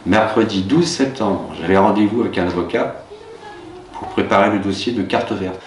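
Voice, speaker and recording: A middle-aged man with a very low voice and Russian as his native language, telling his own story slowly and thoughtfully, stopping often to search for words. He sounds set back from the mic in a roomy space, with a faint hum of ambience behind him. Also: distant mic. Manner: hesitant, many pauses and fillers. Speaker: teacher being interviewed. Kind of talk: reflective personal recollection.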